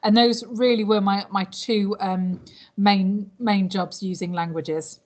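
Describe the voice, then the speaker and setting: A woman's voice: medium pitch, steady tone, takes it slowly. A British woman in her late thirties, a language teacher, answering interview questions in an informal, chatty, explanatory way with some hesitation. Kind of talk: informal interview answers about past jobs.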